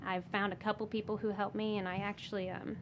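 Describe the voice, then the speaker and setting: A woman, a guest on a podcast, talking casually and softly with many fillers and restarts. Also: mid-range voice, level tone, quick pace, lo-fi sound, noisy background. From Michigan. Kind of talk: casual interview talk.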